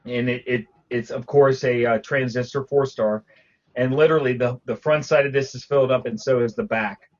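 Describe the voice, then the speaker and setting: A man's voice: mid-range voice, fairly even intonation, normal speed. Male co-host, casual and rambling, restarts often; dull lo-fi recording. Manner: rambling, frequent restarts and fillers. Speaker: podcast co-host. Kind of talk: casual panel chat about collecting.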